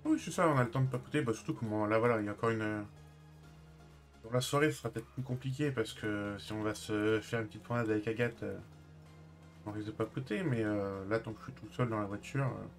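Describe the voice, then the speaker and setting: A man's voice: fairly low pitch, fast. A man streams a roleplay game over background music. His talk is casual and conversational, drifting into muttered thinking aloud as he plays. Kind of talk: casual gameplay commentary and in-character chat.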